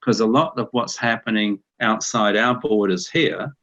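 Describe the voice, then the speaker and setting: Low-voiced New Zealand man, muffled webinar. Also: measured pace, a bit bright. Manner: conversational, repeats words when restarting. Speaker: guest speaker. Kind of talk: webinar talk. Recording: dull muffled webinar audio.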